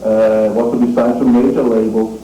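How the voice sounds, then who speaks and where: Dark-toned, hesitant male interviewer. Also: mid-range voice, little pitch movement, faint music behind. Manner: hesitant, halting, many fillers. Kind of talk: interview questions. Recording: echoey room from far off.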